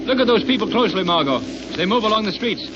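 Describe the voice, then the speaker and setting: An actor in an old radio drama playing an inquisitive, confident, well-bred gentleman with polished, urgent delivery. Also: sits high, expressive, fast talker, loud music behind the voice. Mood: urgent, earnest. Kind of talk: 1930s radio drama acting. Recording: very noisy, muffled 1930s radio recording.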